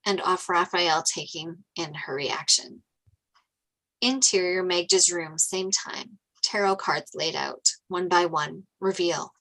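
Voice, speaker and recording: A woman narrates a script at a table read, reading out scene headings and action. Her voice sits on the low side, bright and forceful, with audible fry. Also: fairly even intonation, slow pace, low-quality recording. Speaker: narrator reading stage directions.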